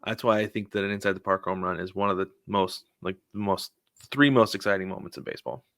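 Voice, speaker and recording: A man's voice: low voice, fairly even intonation, quick pace, a bit bright, no echo, clean studio sound. A man co-hosting a sports podcast, telling casual stories and acting out what people said. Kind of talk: casual baseball chat with anecdotes.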